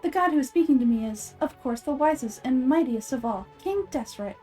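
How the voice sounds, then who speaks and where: A woman's voice: high voice, animated, a little fast, mellow, soft background music. A woman playing a proud, devout female believer from the desert in a video game, formal and indignant in manner. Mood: haughty. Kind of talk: video game character voice acting.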